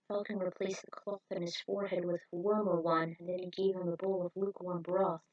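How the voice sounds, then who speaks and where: A woman's voice: lowish voice, poor audio. A woman reading fan fiction aloud as a narrator in an amateur storytelling style.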